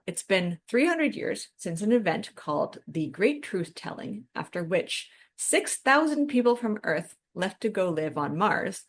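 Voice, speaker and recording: A woman with a lowish voice and a noticeable creak co-hosts a podcast. She chats informally and reflectively, thinking her points through as she goes. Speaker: podcast co-host. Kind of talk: casual podcast discussion.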